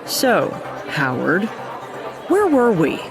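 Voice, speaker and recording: A woman's voice: mid-range voice, huge pitch swings, slow pace, a crowd in the background, heavily acted. A woman playing a tough, vengeful, guarded hunter in an audio drama. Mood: taunting. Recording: music playing behind the voice.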